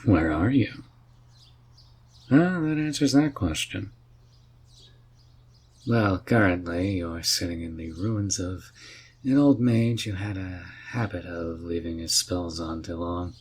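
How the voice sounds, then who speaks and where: A man playing a laid-back, wry and curious male mage in a fantasy roleplay, musing and gently teasing as he talks. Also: low-pitched, takes it slowly, pauses often, slight background noise. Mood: easygoing, teasing. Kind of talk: roleplay audio drama addressed to the listener.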